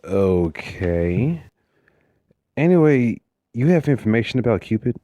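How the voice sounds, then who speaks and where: A man's voice: medium pitch, big pitch swings, a little slow, dark tone, no echo. An actor playing a driven, weary police detective and family man in a suspense audio drama, earnest in manner. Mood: businesslike.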